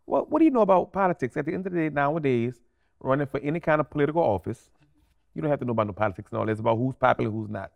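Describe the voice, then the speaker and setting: A man's voice: mid-range voice, lively intonation, racing, gravelly, lo-fi sound. A man with a New Orleans accent chatting casually as a podcast guest, blunt and slangy, often repeating himself for emphasis. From New Orleans, USA. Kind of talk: casual, animated interview chat.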